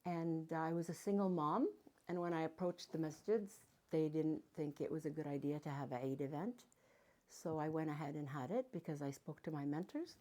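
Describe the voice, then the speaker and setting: A woman's voice: low-pitched, normal speed, darkish tone. An older woman from Canada, a guest speaker and matchmaker, talking casually to a live audience and telling stories with playful asides. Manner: chatty storytelling with playful asides.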